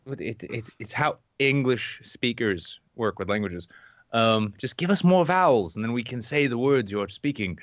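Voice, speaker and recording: A man hosting a podcast with casual, comedic news commentary in an irreverent, sarcastic, animated manner. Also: mid-range voice, moderate pace, dry recording, dull audio. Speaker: podcast host.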